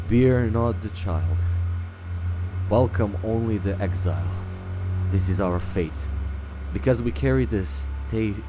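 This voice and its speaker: A man hosting a game roleplay, improvising in character and reading game text aloud in a hesitant way. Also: on the low side. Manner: hesitant, halting. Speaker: gaming video host, roleplaying as the player.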